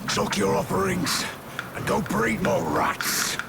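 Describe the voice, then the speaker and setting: A man's voice: high-pitched, steady tone, takes it slowly, vocal fry, loud music behind the voice, heavily acted. An actor voicing a gluttonous, brutish monster henchman, coarse and taunting. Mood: irritated, menacing. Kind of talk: video game character acting.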